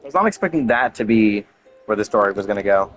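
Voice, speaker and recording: A man's voice: fairly low pitch, lively intonation, fast, a bit bright. A young adult man giving casual gameplay commentary as a gaming streamer. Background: game music.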